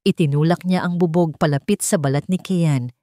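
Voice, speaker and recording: Low-pitched woman narrating a dramatic story. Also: moderate pace, mellow, no room sound, clean studio sound.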